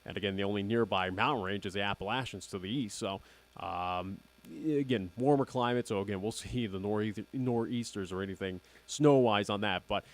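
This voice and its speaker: A man's voice: on the low side, brisk. Young man rambling on radio. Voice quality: slightly raspy, nasal. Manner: rambling, keeps repeating "again". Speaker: radio host. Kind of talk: casual radio chat.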